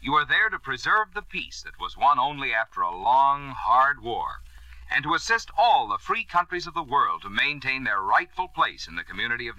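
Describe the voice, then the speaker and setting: A man's voice: medium pitch, pushed and bright, dry recording, poor audio. A man reading a scripted 1950s radio public service announcement in an earnest, emphatic and formal way.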